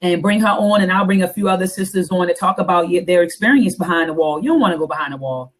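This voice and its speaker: A woman hosting a casual talk show, her deep voice raspy and hoarse after coughing, repeating phrases for emphasis. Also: fast talker. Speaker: host.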